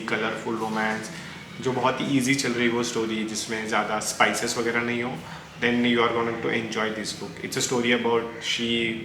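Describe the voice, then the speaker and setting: A man with an Indian accent chatting casually and opinionatedly about books, rambling and mixing Hindi into English. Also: mid-range voice. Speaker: book reviewer reacting to recommendations. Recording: echoey room with clear background noise.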